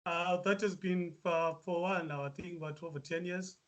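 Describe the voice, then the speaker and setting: A South African musician answering interview questions, hesitant with many fillers. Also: male voice, high voice, fairly even intonation, brisk. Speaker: musician guest.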